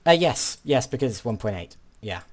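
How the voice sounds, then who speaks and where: A man's voice: medium pitch, big pitch swings, normal speed. A teenage boy, commenting on his gameplay with a lot of hesitation and polite, old-fashioned turns of phrase. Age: teens. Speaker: gaming channel host.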